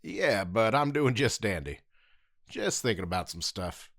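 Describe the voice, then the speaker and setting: A man's voice: fairly low pitch, lively intonation, a little slow, fairly bright tone, dry recording, studio quality, exaggerated voice. A man voicing a laid-back, slangy young martial arts teacher. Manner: easygoing, slangy, teasing.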